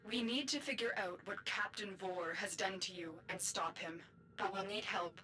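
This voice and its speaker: An actress playing a grave, purposeful guide in a video game, speaking in a measured, formal, instructive way. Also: female voice, medium pitch, sharp tone, a little hiss or hum, natural voice, rough recording. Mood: resolute, guiding. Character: composed mysterious female guide, grave and purposeful. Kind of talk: video game character acting.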